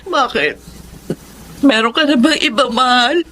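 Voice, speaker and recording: A woman with a Filipino accent acting a heartbroken young woman pleading haltingly in a romance drama. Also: sits high, pushed and bright. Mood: hurt. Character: heartbroken young woman clinging to her lost love.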